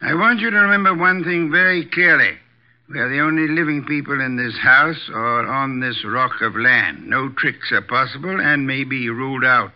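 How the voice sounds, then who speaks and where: An actor playing an imperious, erudite armchair detective with formal, deliberate diction, in 1950s radio drama style. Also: male voice, medium pitch, natural voice. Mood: methodical, grave. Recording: dull vintage 1950s radio recording.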